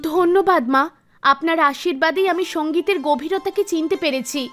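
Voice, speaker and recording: A woman's voice: extremely high pitch, normal speed, fairly bright tone. A woman voicing a devout young girl music student in a cartoon, with an earnest, pleading delivery. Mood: grateful, devout. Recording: music behind the voice.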